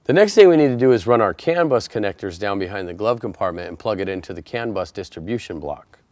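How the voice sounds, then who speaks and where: A man's voice: lowish voice, animated, quick pace, darkish tone, no room sound. A man presenting a how-to installation tutorial, explaining each step in a plain, methodical way. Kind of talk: step-by-step instructional narration.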